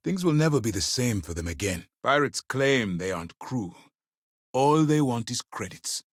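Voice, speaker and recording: An actor playing a stern, principled space navy commander in a video game, speaking in a formal, deliberate, authoritative way. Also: male voice, mid-range voice, very animated, breathy edge, dry recording, clean studio sound. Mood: calm authority. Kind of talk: scripted dramatic game dialogue.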